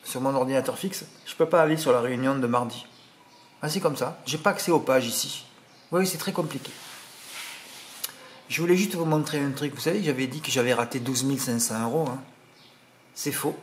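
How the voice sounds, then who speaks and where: Regional French man, mid-pitched, indignant rambling tipster, faint hiss in the background. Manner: indignant, rambling, full of "hein" and "voilà". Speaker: horse racing tipster. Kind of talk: casual monologue to subscribers.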